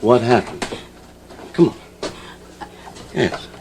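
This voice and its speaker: An actor playing an abusive, bigoted small-town shopkeeper in a dramatic vintage television style, badgering and repeating himself insistently. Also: male voice, medium pitch, expressive, very slow, vocal fry, noisy background, exaggerated voice. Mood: coercive, pressing.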